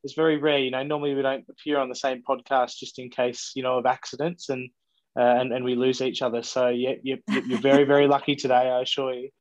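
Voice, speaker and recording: A man's voice: mid-range voice, flat delivery, quick pace, dry recording, dull audio. A man in his forties with an Australian accent, a bartender chatting as a podcast guest and often repeating his words. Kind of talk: casual podcast chat.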